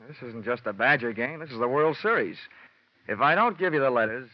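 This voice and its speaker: An actor playing a cocky, world-weary private detective with a wry, slangy delivery in a classic radio play. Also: male voice, medium pitch, lively intonation, fairly quick, no room sound, close to an everyday voice. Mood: sardonic, cool. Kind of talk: classic radio detective acting with first-person narration. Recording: muffled old radio recording, music behind.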